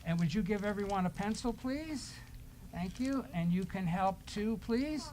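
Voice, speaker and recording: A man's voice: high-pitched, animated, unhurried, darkish tone, rough recording. A man leading a children's time at church, reading aloud and chatting to the children in a playful way, with questions and simple instructions.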